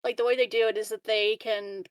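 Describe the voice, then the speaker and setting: A woman's voice: a little high, level tone, fairly quick, clear, resonant tone, no room sound, studio quality. A woman playing a tabletop game, chatting casually and informally in a giggly way. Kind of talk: casual chat.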